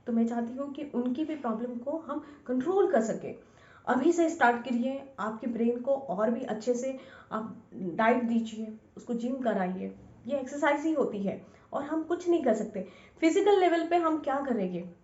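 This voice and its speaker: A woman's voice: sits high, lively intonation, normal speed, a little mellow. A woman speaks as an instructor, coaching the listener directly in an informal way. She often repeats a phrase and drops in English words. The recording is dull and lo-fi, with plenty of room echo. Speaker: instructor, scientist. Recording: echoey room, dull lo-fi sound.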